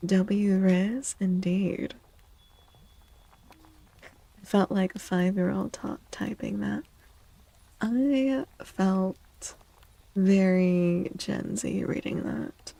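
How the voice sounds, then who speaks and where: A soft-spoken woman chatting in a gentle, playful ASMR style as a streamer, often dropping to a whisper. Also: mid-range voice, fairly even intonation, drawn-out words, vocal fry, pauses often, no echo, rough recording. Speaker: live streamer reading tarot cards for chat. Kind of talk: soft-spoken ASMR chat, greeting viewers.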